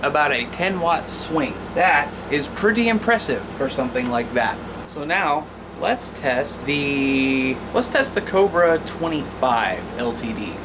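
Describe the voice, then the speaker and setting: A man's voice: a little high, lively intonation, measured pace, background noise. An American man giving a casual, plain-spoken hands-on gear demonstration as an informal presenter. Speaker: radio hobbyist presenter.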